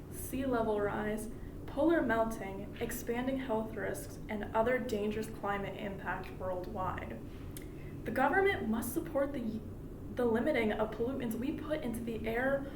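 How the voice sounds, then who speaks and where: A woman delivering a prepared persuasive public speech in an earnest, explanatory way, as a student speaker. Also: fairly high pitch. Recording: echoey room from far off, clearly noisy.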